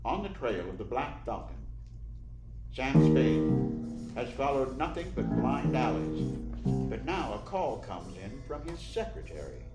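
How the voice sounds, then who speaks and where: An actor playing a polished 1940s radio announcer, who delivers sponsor pitches and dramatic narration. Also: male voice, big pitch swings, unhurried, music in the background. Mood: suspenseful. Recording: roomy echo, faint noise.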